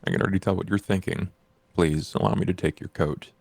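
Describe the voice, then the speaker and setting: A man voicing a calm, deferential manservant with a measured, dryly patient way of talking in a tabletop roleplay. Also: low-pitched, creaky, no echo.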